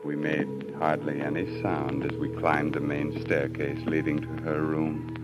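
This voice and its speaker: An actor playing a deranged, murderous husband in an old-time radio thriller, theatrical, swinging between hushed coaxing and frenzy. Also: male voice, rumbling low, completely flat delivery, a little slow, dull audio. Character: deranged, murderous husband confessing his crime. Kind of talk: old-time radio thriller acting, first-person narration. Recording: old radio recording with loud music.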